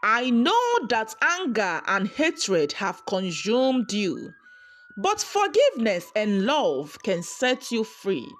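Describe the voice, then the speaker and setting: Serene older wise woman played by a female storyteller, unhurried, gentle, advising, for a folktale. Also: fairly high pitch, huge pitch swings, slight background noise, heavily acted. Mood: kindly.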